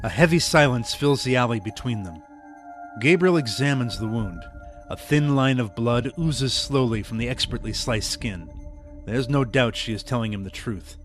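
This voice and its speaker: A man's voice: mid-range voice, moderate pace. Male narrator, dramatic scripted storytelling for audio drama, light music underneath.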